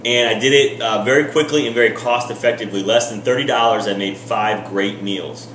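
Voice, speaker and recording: A man's voice: lowish voice, a little fast, a bit bright, echoey room, far from the mic, slight background noise, poor audio. A man in his thirties giving a casual cooking how-to in his home kitchen, talking in an upbeat, direct coaching tone. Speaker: fitness vlogger.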